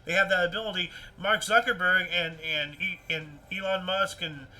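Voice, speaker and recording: A man with a high-pitched, strikingly bright and forceful voice hosts a casual news stream. He talks in an animated, rambling way, circling back over his phrases as he comments. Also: normal speed. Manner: animated, repeats himself, rambling. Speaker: host of a livestream news show. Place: indoors at a computer with webcam.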